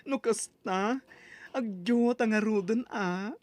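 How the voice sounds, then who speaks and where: A woman playing a lovestruck, whiny sweetheart in a comedy drama, with an Ilocano accent and plaintive, drawn-out delivery. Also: a little high, a little mellow. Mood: tender, pleading.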